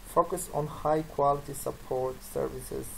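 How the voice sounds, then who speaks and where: Slide presenter, non-native English speaker, creaky voice, halting read, noisy amateur recording. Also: medium pitch, steady tone, fairly bright tone. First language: not English.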